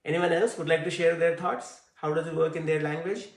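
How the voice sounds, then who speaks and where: A man's voice: fairly high pitch, fairly even intonation, a little fast, roomy sound, recorded from a distance. A man teaching a language class online, talking conversationally with many fillers. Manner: conversational, frequent fillers and "I see". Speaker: Hindi teacher.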